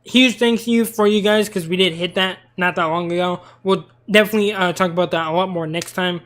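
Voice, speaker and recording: High-voiced tired young man streaming. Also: steady tone, brisk, fairly bright tone. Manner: rambling, talkative. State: tired, losing his voice. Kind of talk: casual live-stream commentary.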